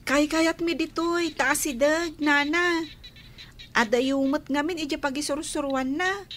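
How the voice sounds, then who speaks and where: A woman's voice: high voice, unhurried, fairly bright tone, slight background noise. A woman playing a loyal, protective schoolgirl big sister in a radio drama, with a spirited, caring manner. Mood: reassuring, insistent.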